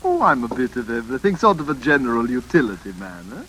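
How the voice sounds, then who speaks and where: An actor playing a jaunty, overfamiliar stranger with a sinister joviality in an old-time radio drama. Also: male voice, mid-range voice, very animated, fast, fairly bright tone. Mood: smug, musing. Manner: jaunty, chuckling, punctuates with "eh". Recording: noisy vintage radio drama recording.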